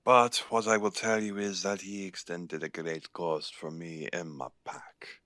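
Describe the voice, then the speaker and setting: A man playing a guarded, seasoned werewolf pack leader with an Irish accent in an audio roleplay, speaking in a measured, grave way. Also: sits low, flat delivery, bright, forceful tone, dry recording, studio quality.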